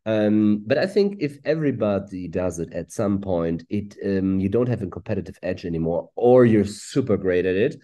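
A man in his forties with a German accent answers interview questions over a video call. His voice is low and mellow, and he speaks quickly, with halting fillers between his points. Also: lively intonation. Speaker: guest author. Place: room with purple lighting.

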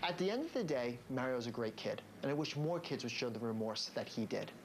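An American man in his thirties, a high school principal, speaking in a stern, authoritative way. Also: very animated, fairly quick. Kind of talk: reality show narration and firm talk with a student. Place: school offices and locker room. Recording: noisy reality TV location sound.

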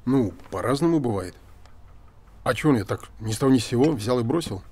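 Quick-talking, reserved theatre director. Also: male voice, mid-range voice, expressive, darkish tone, husky. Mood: reserved, probing. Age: 30s. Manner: terse, understated, matter-of-fact. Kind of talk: naturalistic television drama acting.

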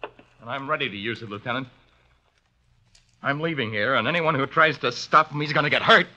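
An actor playing a desperate, defiant armed fugitive, agitated and threatening, in 1950s radio drama style. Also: male voice, mid-range voice, a little fast, pushed and bright. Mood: menacing. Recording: dull vintage 1950s radio recording.

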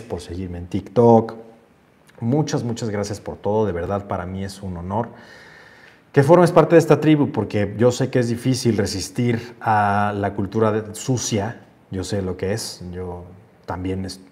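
Man, 40s, low-pitched host, earnest chat over a lapel mic, home office. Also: a little mellow. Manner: reads comments aloud then muses on them, earnest. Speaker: host reading viewer comments. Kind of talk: casual livestream chat. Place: home office with shelves, indoors.

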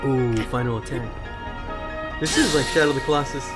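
A woman's voice: very deep voice, fairly quick. A woman casually teasing and joking with slang and swearing while watching someone play a video game. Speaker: spectator commenting on gameplay. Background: video game music.

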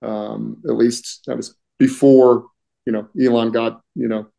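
A middle-aged man speaks at an ordinary pitch with a warm, dark tone. He talks from a room at home over a laptop microphone, full of "you know" and "um". He keeps stopping to clear his throat. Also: flat delivery, measured pace. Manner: conversational, many fillers, clears throat often. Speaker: union political director, guest. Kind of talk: casual video-call interview.

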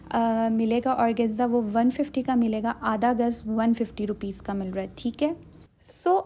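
Young pakistani woman vlogging her market shopping, slightly high, mellow tone, chatty. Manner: chatty, explains prices step by step, mixes in English phrases. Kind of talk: shopping vlog narration.

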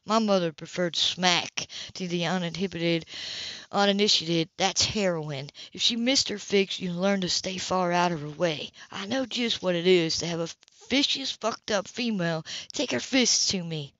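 A woman's voice: fairly low pitch, normal speed, a bit bright, no echo, muffled recording, natural voice. A woman reading an audiobook, voicing a blunt, bitter young man who speaks in a hard, sarcastic, cutting way. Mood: cold.

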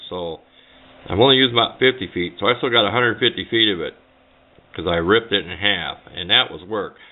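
A man giving a casual, unscripted how-to at a workbench, talking in a plain, rambling, folksy way. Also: on the low side. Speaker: hobbyist demonstrating a homemade build. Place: indoors at a workbench. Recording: muffled, narrow phone-like camera audio.

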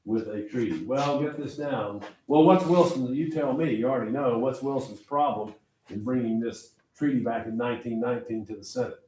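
A man's voice: mid-range voice, moderate pace, darkish tone. An older man with an Oklahoma accent lecturing as a history teacher, emphatic, repeating key phrases and quizzing his listeners. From Oklahoma, United States. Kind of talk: classroom lecture. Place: medium-sized classroom, indoors. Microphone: far camera at back of room. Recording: echoey classroom, distant camera mic, background noise.

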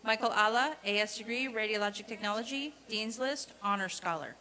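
Woman reading grad names at a ceremony, highish bright voice, formal deliberate delivery. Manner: deliberate, repetitive announcing cadence. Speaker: commencement name reader. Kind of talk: formal reading of a graduate roll. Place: outdoor college commencement ceremony.

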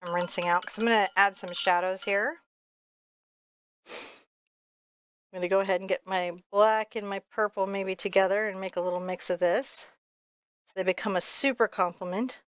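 A woman with a bright, forward voice and a dry, close sound hosts a painting lesson. She chats casually and playfully, poking fun at herself while she explains what she is doing. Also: medium pitch, fairly even intonation, moderate pace, long pauses, no room sound. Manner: playful, chatty, self-deprecating. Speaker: painting teacher, show host. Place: indoor art studio.

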